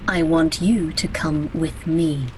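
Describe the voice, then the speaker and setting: Slow, solemn Grim Reaper played by a woman. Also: sits low, steady tone, a little hiss or hum, rough recording. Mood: coolly formal. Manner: formal, grandiose, deliberate. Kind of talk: radio play acting.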